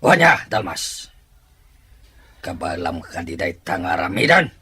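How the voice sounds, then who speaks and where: Radio-drama chief, played by a man: commanding schemer, very husky, unhurried delivery. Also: sits low, very animated, bright, forceful tone, full character voice. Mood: menacing command. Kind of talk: Filipino radio-style drama acting.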